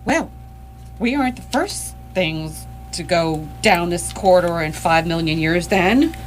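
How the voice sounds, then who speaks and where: A woman's voice: on the low side, lively intonation, unhurried, soft background music, rough recording. A woman playing an uneasy, practical space traveller, casual and questioning, in live radio theatre.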